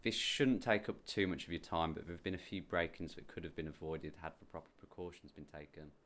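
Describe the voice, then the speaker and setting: An actor playing a courteous, officious, rambling police officer in a horror audio drama. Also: male voice, sits low, fairly quick, fairly bright tone. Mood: courteous, procedural. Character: polite, rambling male police officer on rounds. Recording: faint background noise.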